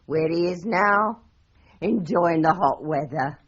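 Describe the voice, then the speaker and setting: A woman's voice: fairly low pitch, takes it slowly, highs cut off. An actress playing a garrulous, dramatic middle-aged English landlady in a radio drama, with florid, declamatory storytelling. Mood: dramatic recounting. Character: garrulous, dramatic London landlady, respectable and indignant. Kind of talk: 1980s radio drama acting.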